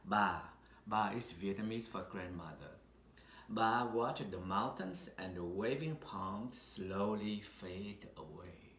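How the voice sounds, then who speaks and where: Male storybook narrator, lowish voice, unhurried deliberate delivery with dramatic pauses, echoey room. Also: a bit bright. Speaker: storybook reader. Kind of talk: read-aloud children's picture book narration. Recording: roomy echo with faint background noise.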